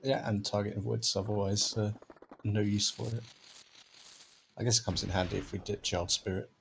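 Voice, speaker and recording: A man doing relaxed live commentary on a game tournament, hesitant at times and chuckling often. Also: lowish voice, moderate pace, gravelly, faint music behind.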